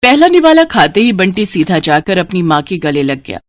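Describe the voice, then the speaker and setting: A woman's voice: on the low side, very animated, normal speed, no echo, clean studio sound. A woman reading a scripted story as its narrator.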